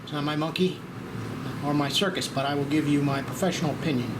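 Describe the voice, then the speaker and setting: Man playing a smug deadpan doctor, slightly high voice. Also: fast talker, close to an everyday voice. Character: smug, deadpan, lecherous male comedy doctor. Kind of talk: comic screenplay table read. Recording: echoey room with steady background noise.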